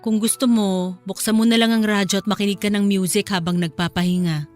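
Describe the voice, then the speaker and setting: A woman's voice: mid-range voice, steady tone, a little fast, faint music behind, close to an everyday voice. A woman playing a weary, grieving widowed mother in an emotional radio drama. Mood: evasive, weary.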